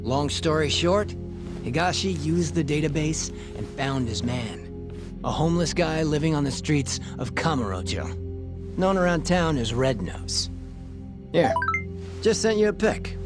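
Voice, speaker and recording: A man's voice: on the high side, sing-song, unhurried. An actor playing a cagey, teasing male street informant in a video game cutscene, with slangy, sardonic delivery. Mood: sly, recounting. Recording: music behind the voice. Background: music.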